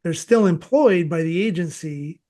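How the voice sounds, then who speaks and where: A man with an American accent hosting a casual interview, speaking thoughtfully and haltingly. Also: on the high side, mellow. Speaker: podcast host.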